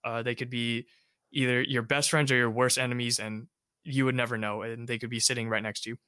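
A man's voice: medium pitch, a little fast, clean tone, dry recording, clean studio sound. A young man hosting a casual chat, talking loosely with lots of filler words. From United States. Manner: relaxed, rambling, many fillers. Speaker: host.

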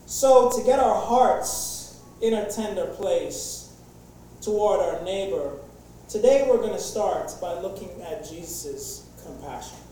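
A man preaches in an easygoing, conversational way, stumbling through fillers and restarts. His voice is mellow and comes from far off, with heavy echo. The recording sounds like it was made in a large church hall. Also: sits high, expressive, slow pace, slight background noise. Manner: casual, jokey opening, many fillers and restarts. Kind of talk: sermon.

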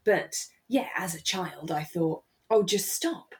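A woman's voice: fairly low pitch, slow pace, darkish tone. A woman co-hosting a podcast in casual, reflective conversation, thinking aloud hesitantly and often repeating phrases as she goes. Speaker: podcast co-host.

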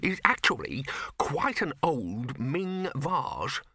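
A nervous older bursar wizard, voiced by a male narrator from a storybook comedy. He is fussy and tentative, quavering at a raised pitch and a slow pace. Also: sing-song, sharp tone, husky, dry recording, full character voice. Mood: fussy, expectant.

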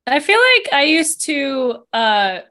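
A woman's voice: high-pitched, very animated, takes it slowly, pushed and bright. A woman joining a casual chat over a video call, telling an upbeat story. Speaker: guest.

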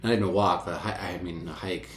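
A man in his twenties co-hosting a podcast, relaxed and playful, laughing often. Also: low voice, fast talker, a loud crowd. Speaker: podcast co-host. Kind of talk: casual chat. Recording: echoey room with faint hiss.

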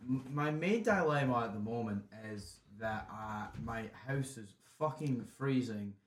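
A man's voice: mid-range voice, expressive, measured pace, slight background noise. A young man hosting a student radio show, chatting hesitantly and apologetically, sitting too far from the mic. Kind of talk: casual student radio chat. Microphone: too far from the studio microphone. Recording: roomy studio sound, off-mic.

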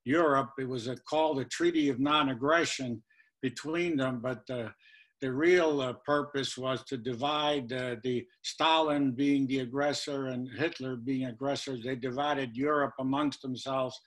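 A man in his eighties, born in Lithuania, answering interview questions about his childhood, with many pauses and fillers. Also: mid-range voice, fairly even intonation. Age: 80s. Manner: halting, many uh fillers, trails off quietly. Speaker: guest.

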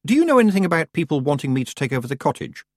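A man voicing a sensible, quietly stubborn young girl witch in a storybook, plain-spoken and politely stiff. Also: fairly high pitch, very animated, racing, dry recording, clean studio sound, pitched above the performer's own voice. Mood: direct.

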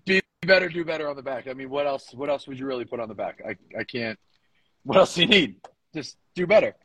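A man's voice: medium pitch, lively intonation, fast talker, pushed and bright, a little hiss or hum, poor audio. A man co-hosting a podcast in a laid-back, informal, bantering way. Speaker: podcast co-host. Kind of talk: casual chat with banter.

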